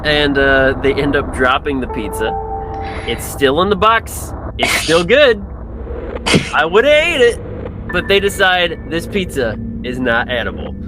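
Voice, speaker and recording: A man's voice: fairly high pitch, big pitch swings, measured pace, over music, low-quality recording. A man co-hosting a podcast, talking about a movie in casual, laid-back slang with swearing. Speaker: podcast co-host. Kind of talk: casual film discussion with swearing.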